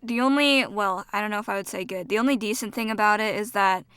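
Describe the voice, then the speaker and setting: A woman's voice: medium pitch, fast talker, fairly bright tone, clear, resonant tone, soft background music. A woman co-hosting a podcast, giving her opinions casually in a blunt, sardonic manner. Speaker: podcast co-host.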